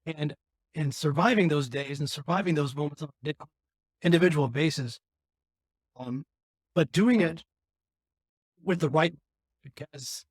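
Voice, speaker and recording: A man with a raspy voice hosting a podcast, talking candidly and earnestly about his own life, rambling with frequent restarts. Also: a little high, a little slow, pauses often, dry recording. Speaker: podcast host. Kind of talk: candid personal talk.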